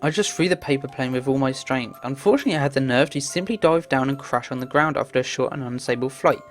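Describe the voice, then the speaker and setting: A woman's voice: extremely low pitch, quick pace, soft background music. A woman reading a visual novel aloud as narration, stumbling now and then and adding casual asides.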